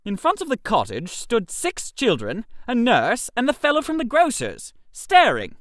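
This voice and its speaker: A man narrating a comic first-person story in an expressive, theatrical way. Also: extremely high pitch, moderate pace, no room sound, studio quality.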